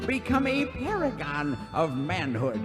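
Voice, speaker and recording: Folksy old american cowboy coot for radio comedy, real high drawly voice, music playing behind. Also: male voice, huge pitch swings, a little slow, big-room sound. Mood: preachy, folksy. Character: cranky, boastful old cowboy sidekick, folksy and woman-wary. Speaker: comic singing sidekick. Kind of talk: western radio comedy with comic songs.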